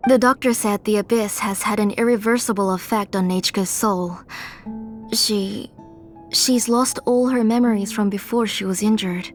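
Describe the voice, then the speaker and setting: An actress playing a gentle mother caring for her ill daughter in a video game cutscene, with a soft, calm, motherly voice. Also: medium pitch, moderate pace, faint music behind, close to an everyday voice. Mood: sorrowful.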